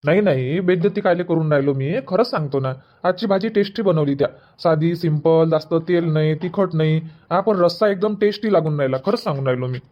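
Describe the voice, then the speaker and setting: A man's voice: on the high side, fairly quick, muffled recording, natural voice. A man voicing an easygoing young husband in a comedy cartoon, who reassures his wife and keeps finding excuses. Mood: coaxing. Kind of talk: Marathi comedy cartoon dub.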